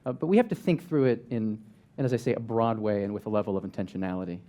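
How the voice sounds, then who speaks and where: A man's voice: lowish voice, quick pace, warm tone. A man speaking as an educator on a panel, wry and joking, thinking aloud as he goes. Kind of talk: panel discussion.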